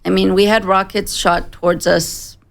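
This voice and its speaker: A woman in her forties from Israel with a slight American accent, giving a personal account as a studio interview guest in plain, measured sentences. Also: mid-range voice, flat delivery, creaky. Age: 40s. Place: broadcast studio.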